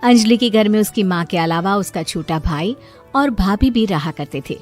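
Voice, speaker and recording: A woman's voice: medium pitch, normal speed, soft background music. Woman narrating a cartoon moral story. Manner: calm, explanatory.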